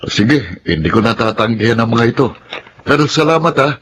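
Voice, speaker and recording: An actor playing an earnest, caring young Filipino construction worker and dutiful son in a radio drama. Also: male voice, mid-range voice, expressive, brisk, fairly bright tone, slight background noise, natural voice. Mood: friendly. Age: young adult.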